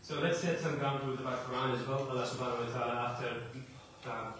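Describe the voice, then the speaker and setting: A man's voice: mid-range voice, steady tone, fast talker, far from the mic, background noise. A man giving a Friday lecture at a mosque, talking in an earnest, conversational way with many fillers and rhetorical questions. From not from an Arabic-speaking country. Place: mosque prayer hall. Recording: very echoey hall, speaker off-mic.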